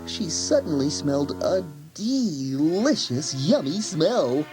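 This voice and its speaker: A woman narrates a children's story in a very low voice. Her unhurried delivery rises and falls expressively over clear background music. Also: sing-song, rough recording.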